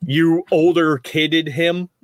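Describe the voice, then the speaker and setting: A man's voice: on the high side, little pitch movement, slow, dry recording, studio quality. A man narrating as game master in a tabletop roleplaying game, rambling with frequent restarts. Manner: rambling, many restarts, laughs often.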